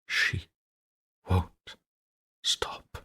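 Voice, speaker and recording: A man's voice: extremely slow, sharp tone. A man playing an exhausted, guilt-ridden young man who is falling apart, reading his journal in a trembling, stammering, pleading voice. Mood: haunted, whispering. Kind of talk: horror story narration, journal reading.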